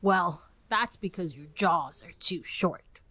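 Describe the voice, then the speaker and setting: A woman voicing an old gray male wasp in a yellow wig, querulous and blunt, with rustic, uneducated turns of speech in a dramatic storybook reading. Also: lowish voice, takes it slowly, breathy edge, no echo, heavily acted. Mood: blunt, critical.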